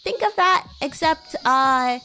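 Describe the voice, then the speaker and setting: A woman's voice: sits high, expressive, takes it slowly, pushed and bright, soft background music, full character voice. A woman voicing a bratty, teasing young demon classmate in an improvised livestream roleplay, rambling playfully. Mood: playful explaining. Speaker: VTuber streamer.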